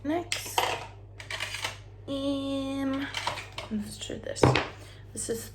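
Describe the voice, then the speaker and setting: A woman's voice: high-pitched, steady tone, very slow, heavy background noise. A young Australian woman with an Australian accent, chatting casually and rambling while she does her hair and makeup at home. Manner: chatty, rambling, sings snatches of songs, drops into whispers. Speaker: beauty vlogger. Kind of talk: casual get-ready-with-me chat.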